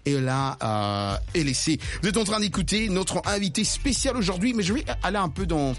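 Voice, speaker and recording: Animated man hosting an interview. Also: high voice, normal speed, faint music behind. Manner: hesitant, many fillers and restarts. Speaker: radio show host.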